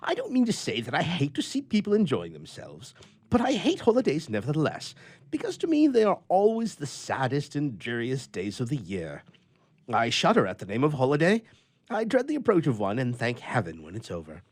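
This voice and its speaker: Guy doing a grumpy lonely old bachelor from a storybook, big indignant speeches, pitch jumps all over the place. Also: huge pitch swings, fairly quick. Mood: gloomy indignation. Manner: emphatic, indignant, old-fashioned oratorical delivery. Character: irritable, lonely old bachelor who hates holidays. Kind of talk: theatrical storybook reading.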